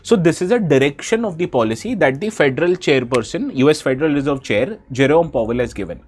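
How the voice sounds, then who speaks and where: Exam-prep teacher, Indian man with a head cold, slightly high voice, questions his class as he explains. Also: expressive, fast, breathy edge. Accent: Indian English. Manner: asks students questions, switches briefly into Hindi. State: has a bad cold. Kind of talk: live online lecture.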